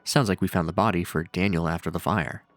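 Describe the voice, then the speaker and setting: Low-voiced man narrating, dryly sardonic. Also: steady tone, fast talker, no room sound. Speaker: video narrator and reviewer. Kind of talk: scripted horror series commentary with casual asides. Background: faint music.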